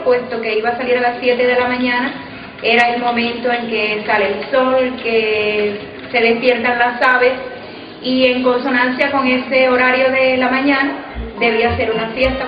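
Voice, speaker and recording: A woman with a Cuban accent, a scriptwriter, speaking in a measured way in a documentary interview. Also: a little high. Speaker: children's radio scriptwriter. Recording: muffled, echoey, with crowd sounds.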